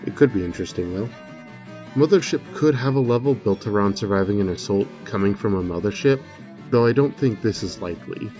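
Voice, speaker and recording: A man giving a measured, explanatory voice-over commentary, semi-scripted, as a video creator analysing a game trailer. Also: lowish voice, lively intonation, warm tone, dull audio, faint music behind.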